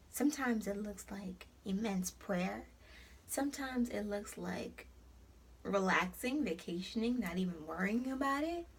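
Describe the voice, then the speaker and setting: A woman's voice: mid-range voice, a little hiss or hum. A young woman with a New York accent, hosting a casual motivational vlog and encouraging her viewers, calling them honey. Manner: warm, preachy and encouraging, calls viewers honey. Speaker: host of an encouragement site.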